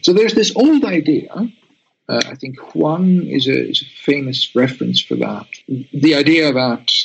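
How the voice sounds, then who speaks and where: A man's voice: fairly high pitch, animated, measured pace, gravelly, highs cut off, slight background noise. A man whose first language is Dutch, a guest researcher answering interview questions thoughtfully, with many restarts and tag questions.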